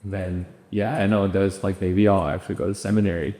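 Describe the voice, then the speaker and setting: Easygoing low-voiced young man, echoey room. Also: level tone, brisk, warm tone. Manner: easygoing, laughs often, repeats "yeah". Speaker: podcast co-host. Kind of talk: casual chat. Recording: echoey room with long reverb.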